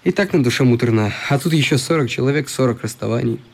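An actor playing a downcast, kind older boy with a husky voice in a radio play. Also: medium pitch, fast. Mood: gloomy.

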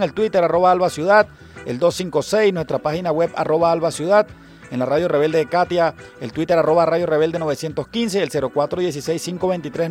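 High-voiced Venezuelan radio host. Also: male voice, darkish tone. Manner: brisk announcer delivery. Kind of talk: radio news reading and interview. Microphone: studio microphone.

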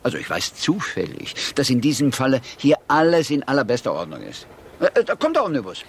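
An actor playing a breezy, eccentric, self-assured gentleman sleuth in a radio drama, with casual stammered repeats. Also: mid-range voice, huge pitch swings, brisk, husky, full character voice. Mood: breezy, nonchalant.

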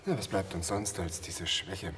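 An actor playing a talkative, self-satisfied traveller in a German radio play, telling macabre stories in a halting, theatrical way. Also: male voice, low voice, a bit bright, slight background noise. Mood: probing. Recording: echoey, distant, music behind.

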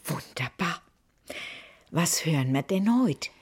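An actress playing a lively older woman, teasing her friend over drinks. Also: low voice, takes it slowly, husky, heavily acted. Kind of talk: radio comedy sketch.

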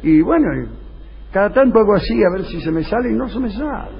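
A man's voice: high voice, lively intonation, a little fast, creaky. Mock-serious Argentine man on muffled, noisy radio. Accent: Argentine Rioplatense. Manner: mock-serious, absurd storytelling. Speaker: radio comedy show host. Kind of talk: improvised comic radio dialogue. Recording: dull, noisy radio recording.

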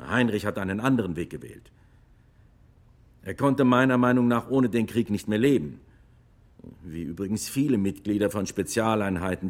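An actor playing a retired, war-weary army officer who testifies gravely and emphatically in a courtroom radio drama. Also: male voice, sits low, a little mellow.

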